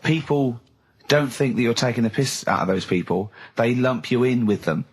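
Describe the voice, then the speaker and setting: A man's voice: mid-range voice, expressive, moderate pace, slightly rough. A man hosting casual radio banter, teasing and prodding with questions. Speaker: radio show host.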